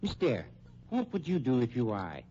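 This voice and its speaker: A man playing a suave, politely sinister funeral director in a 1950s radio crime drama, speaking in formal, measured phrases. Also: medium pitch, wildly swooping pitch, dark tone. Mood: dry, threatening. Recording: muffled, noisy 1950s radio recording.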